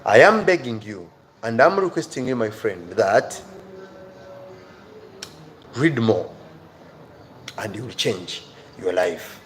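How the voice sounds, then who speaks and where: A man's voice: lowish voice, expressive, slow, long pauses, a little hiss or hum. A man with an African accent giving a motivational live lesson to viewers as their teacher, earnest and emphatic, repeating key phrases.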